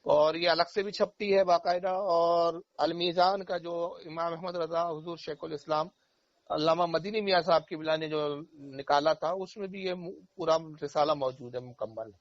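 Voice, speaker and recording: A man's voice: fairly high pitch, normal speed, no room sound. A man speaking as an Islamic cleric on a phone call, explaining religious matters and anecdotes in an emphatic way, repeating key phrases. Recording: dull, dry phone call recording.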